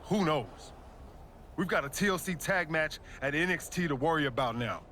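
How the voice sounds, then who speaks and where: A man's voice: on the high side, expressive, moderate pace, sharp tone, a little hiss or hum. A man playing a fiery pro wrestler in a video game, speaking slangy, punchy lines with emphasis. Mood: casual confidence. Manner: slangy, punchy, emphatic delivery in short bursts. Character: fiery pro wrestler rallying his allies. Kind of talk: wrestling promo and video game cutscene acting.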